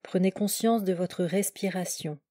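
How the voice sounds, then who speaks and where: A woman leading a guided relaxation meditation as a yoga nidra instructor, giving calm, measured instructions in short, separated phrases. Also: on the low side, dry recording, studio quality.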